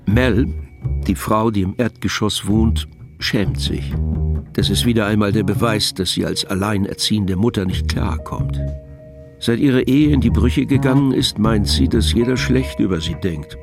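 A man narrating a scripted radio play in a measured, matter-of-fact manner. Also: fairly low pitch, gravelly, over music.

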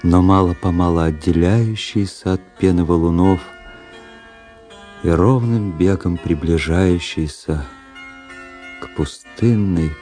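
A man's voice: deep voice, slow, mellow. An actor playing a brooding, world-weary young officer, narrating his past in a reflective, literary radio drama style. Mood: restless, wistful. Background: music.

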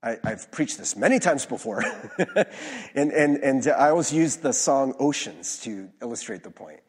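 A male pastor giving a conversational sermon in a church, hoarse-voiced, informal and self-deprecating, with many fillers and restarts. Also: mid-range voice, big pitch swings, measured pace. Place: church sanctuary. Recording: long reverb of a large hall.

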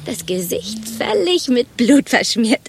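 An actress playing a chatty, overexcited office gossip in a radio play, telling things in a dramatic, gushing way. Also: female voice, on the high side, big pitch swings, measured pace, vocal fry, slight background noise, heavily acted. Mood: gleeful gossiping. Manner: dramatic, gushing storyteller, mimics quoted exchanges.

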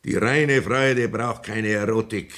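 A man's voice: on the low side, steady tone, takes it slowly, fairly bright tone. A man playing a pompous old country doctor who lectures theatrically in a 1960s radio play. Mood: declaiming. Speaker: Sanitätsrat.

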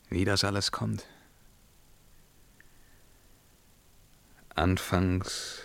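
Casting: tormented emperor in radio verse drama; lower-pitched, theatrical, commanding yet world-weary, recorded very dry. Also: male voice, no room sound. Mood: grave, bitter. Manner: declamatory, swinging between outcries and hushed murmurs.

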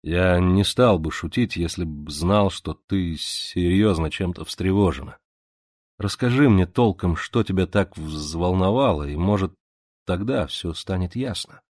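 A man's voice: low-pitched, measured pace, no room sound, clean studio sound. A man reading aloud who voices a weary, ironic male revolutionary with a lazy, drawling manner. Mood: calm. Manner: drawling, mocking, then gentle.

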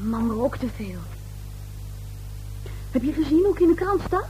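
An actress playing a touchy, defiant young daughter in a radio play, petulant and cheeky. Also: female voice, sits high, lively intonation, moderate pace, a little mellow, a little hiss or hum, poor audio. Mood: upset, self-conscious. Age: young adult.

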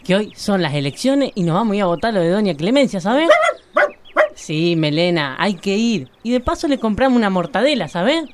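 A woman's voice: medium pitch, big pitch swings, brisk, very noisy, natural voice. A woman playing a spirited, nosy country heroine with an Argentine accent in a radio play. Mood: chatty.

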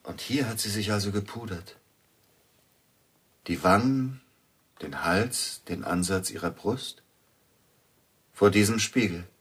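An actor playing a grieving pianist and widower in a radio drama, speaking slowly and probingly, often sinking to a near whisper. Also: male voice, lowish voice, lively intonation, breathy edge, exaggerated voice.

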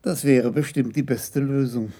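An actor playing a senior police investigator in a German radio crime drama, measured, composed and politely probing. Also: male voice, mid-range voice, steady tone, background noise, lightly acted.

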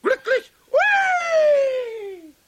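A man playing a cheeky, boastful little kobold in a children's radio play, with a squeaky, croaky, childlike voice and excitable singsong delivery. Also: very slow, slight background noise, heavily acted. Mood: gleeful. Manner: boastful, excitable, stammering, breaks into singsong rhymes. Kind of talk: 1970s German children's radio play acting.

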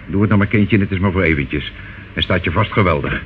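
A man's voice: low voice, fairly quick, natural voice. A man playing a patronizing older gang boss in a radio play, coaxing and bossy. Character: smooth-talking, patronizing older male gang boss, falsely soothing. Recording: muffled, noisy old radio recording.

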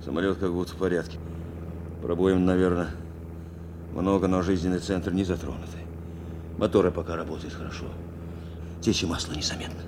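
An actor playing a steadfast, protective bomber navigator in a war radio drama, speaking with earnest insistence. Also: male voice, sits low, flat delivery, brisk, pauses often, noisy background, natural voice. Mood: controlled, concerned. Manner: insistent, repeating short phrases. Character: steadfast male bomber navigator, urging and protective of his commander. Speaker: actor, also narrating in the first person.

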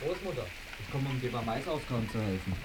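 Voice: medium pitch, sing-song, quick pace, natural voice. A performer playing an obedient, dutiful young village boy in a radio play. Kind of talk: German radio play acting. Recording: faint sizzling ambient noise.

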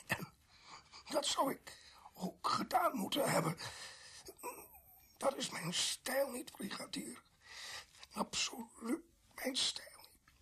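An actor in a radio play playing a gravely wounded, bitter criminal who gasps weakly in halting, broken phrases. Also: male voice, very high voice, big pitch swings, pushed and bright, full character voice. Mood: anguished, protesting. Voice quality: breathy, husky whisper. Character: dying, bitter male criminal protesting his innocence of murder.

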